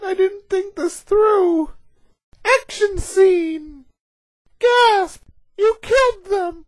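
A woman voicing a ditzy, whiny, excitable teenage schoolgirl heroine in an anime parody dub. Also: very high voice, extremely slow, no room sound, full character voice. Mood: whiny, panicked. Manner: whiny, childish, melodramatic.

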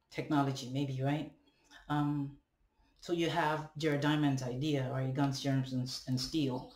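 A young man from Ghana with a Ghanaian accent, speaking a halting, deliberate, reflective monologue to a webcam at home, often checking in with questions. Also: fairly high pitch, darkish tone. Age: young adult. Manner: halting, deliberate, rhetorical questions and tag "right?". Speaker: vlogger. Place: indoors, room at home with bare walls and ceiling fan. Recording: echoey room, webcam at a distance.

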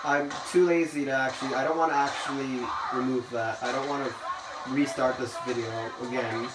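A man's voice: mid-range voice, steady tone, brisk. A young man hosting a gaming video, chatting casually and rambling, playfully bossy about the plan. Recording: echoey room, mic far, noisy.

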